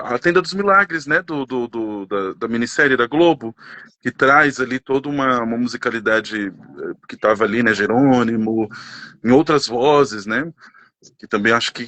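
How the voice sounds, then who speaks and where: A Brazilian man in his thirties, talking in an emotional, expressive way as a guest on an online panel. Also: on the high side, no echo. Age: 30s. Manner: emotional, expressive, sings snatches of songs. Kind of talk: casual conversation.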